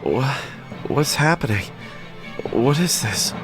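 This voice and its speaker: A man voicing a beaten, bound salesman, dazed and halting, in a horror story. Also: mid-range voice, animated, over music, voice pushed up. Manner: pained, sobbing, halting.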